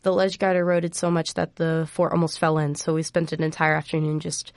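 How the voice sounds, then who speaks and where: Young woman from Iowa, radio guest; earnest, hesitant, flat low delivery, dry mic. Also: a little fast, dry recording. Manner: earnest, hesitant, many fillers. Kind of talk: radio interview. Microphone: studio microphone.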